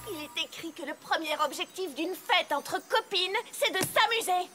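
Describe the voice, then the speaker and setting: An actress voicing an earnest, bookish young pony mare hosting a sleepover in a French cartoon dub, fretful at times. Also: female voice, extremely high pitch, animated, moderate pace. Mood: exasperated. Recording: loud music under dialogue.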